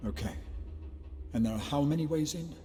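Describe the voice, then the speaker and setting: A man plays a menacing male bounty hunter from a video game, curt and commanding. His fairly high voice swings widely in pitch, ringing in a reverberant hall with music behind. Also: expressive, mellow, lightly acted. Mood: terse, businesslike. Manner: curt, threatening, deliberate. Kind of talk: video game cutscene acting.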